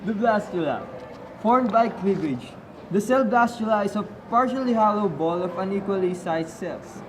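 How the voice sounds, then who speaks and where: A man's voice: high-pitched, animated, normal speed, noisy background. A man presenting as a student, formally reading a scripted textbook-like explanation. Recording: chatter of people in the background.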